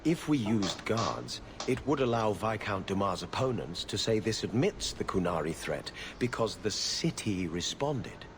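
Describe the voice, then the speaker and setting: A weary, haughty steward of a noble court in a video game. His low voice moves animatedly through formal, clipped, disdainful phrases, over clearly audible background noise. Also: animated, a little slow, hardly any pauses. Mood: grave, disdainful. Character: weary, haughty male court steward guarding a viscount's door. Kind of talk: scripted video game dialogue.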